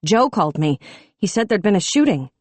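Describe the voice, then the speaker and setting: A woman voicing a fierce young female off-duty police officer who shouts in anger and fights back tears, in an audiobook reading. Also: medium pitch, big pitch swings, brisk, no room sound, voice pushed up. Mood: urgent. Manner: shouting, furious, tearful.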